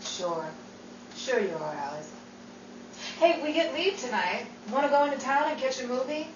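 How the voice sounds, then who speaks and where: A woman's voice: high-pitched, lively intonation, normal speed, dull audio, noisy background. A woman acting as a brash, big-hearted young wartime woman in slangy 1940s stage style, caught from far off on an echoey stage. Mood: gentle. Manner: slangy, outspoken. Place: theater stage.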